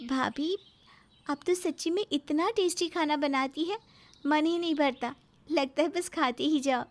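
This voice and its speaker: A woman's voice: sits high, unhurried. A woman voicing an admiring, outspoken young sister-in-law in a family story, with a gushing, girlish manner.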